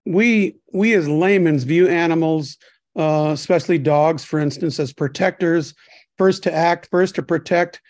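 Halting older man on a dry video call. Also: fairly high pitch, a little mellow, no echo. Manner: rambling, halting, many fillers. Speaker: podcast co-host. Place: home kitchen. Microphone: webcam on a video call.

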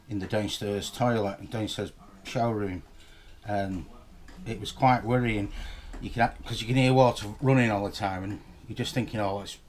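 A middle-aged man with a Scottish accent vlogs about his day, holding the camera at arm's length. His voice sits a bit low and his talk is chatty and rambling. A faint background noise runs under the recording. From north east Scotland. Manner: chatty, rambling, self-filming. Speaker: vlogger. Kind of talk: casual vlog chat to camera. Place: home living room and outdoors, parked car. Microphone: handheld camera at arm's length.

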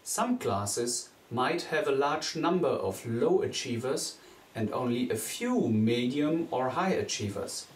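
A young man, a teacher from Germany, giving a scripted presentation in an earnest, steady way. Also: medium pitch, slow pace. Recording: echoey room, voice a bit distant from the mic.

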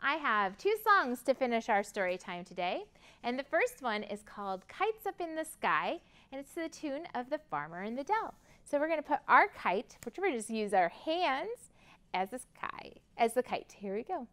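A woman's voice: fairly high pitch, big pitch swings, moderate pace, a bit bright, smooth. An American woman in her thirties leading a children's storytime in a sing-song, exaggerated way for little kids. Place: library room, indoors. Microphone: lapel.

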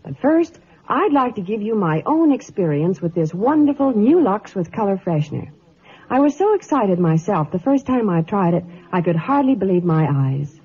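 A woman presenting a gushing sponsor pitch in old-time radio style. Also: sing-song, normal speed, darkish tone, slightly rough. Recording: dull old radio recording, music behind.

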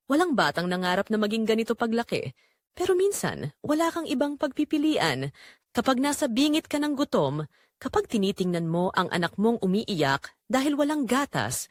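A woman playing a poor, devoted mother burdened by guilt, narrating an audio drama in an earnest, measured, confessional way. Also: fairly low pitch, dry recording, clean studio sound. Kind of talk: scripted dramatic first-person narration.

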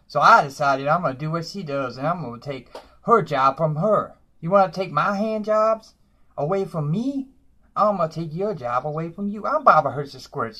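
A man's voice: fairly high pitch, sharp tone. A man playing a boastful, broke woman who lives in a dumpster, tacking "y'all" onto nearly every phrase in a comedy monologue.

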